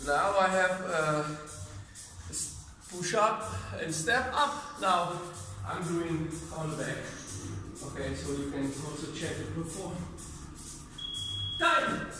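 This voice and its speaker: A high-voiced man, not a native English speaker, coaches a workout while doing it himself. His cues are short and effortful, picked up from a distance in a big reverberant gym. Music plays clearly behind him. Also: takes it slowly, long pauses. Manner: short cued commands, exerting himself. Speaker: fitness trainer. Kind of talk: workout instruction while demonstrating. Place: large indoor gym with mats. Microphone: camera several metres away.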